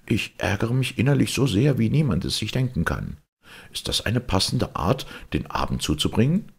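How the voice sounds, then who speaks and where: Man as a bossy, husky, grumbling basket. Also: on the low side, sing-song, moderate pace, pitched above the performer's own voice, full character voice. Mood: indignant. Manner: indignant, self-important. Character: vain, grumbling market basket, bossy and indignant.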